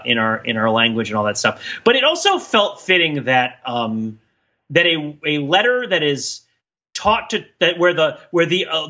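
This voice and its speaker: Give a man with a bright, forceful voice and a middling pitch, talking casually as a podcast co-host. He explains in loops and digressions, often checking with "right?", on a slightly dull recording. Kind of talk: casual discussion.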